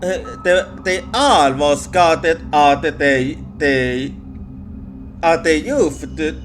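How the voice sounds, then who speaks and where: A man who is a non-native English speaker tells a ghost story in ASMR style, halting and repeating words. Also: a little high. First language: not English. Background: music.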